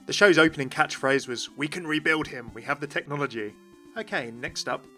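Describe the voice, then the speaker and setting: Bright-voiced man narrating game lore. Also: fairly high pitch, brisk, faint music behind. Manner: enthusiastic, addresses viewers directly. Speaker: video host, game lore commentator. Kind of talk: scripted explainer narration.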